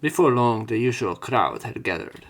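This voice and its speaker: A man's voice: mid-range voice, animated, moderate pace, creaky, a bit bright. A man reading visual novel text aloud as a let's play narrator, with casual, irreverent and sometimes sweary commentary. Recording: game music behind the voice.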